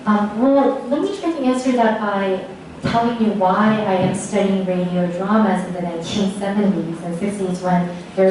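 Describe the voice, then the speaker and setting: A woman lecturer answering audience questions in a tentative, halting, thinking-aloud way. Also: mid-range voice, mellow. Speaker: lecturer presenting research on radio dramas. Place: echoey indoor lecture room. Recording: echoey room, distant mic, faint noise.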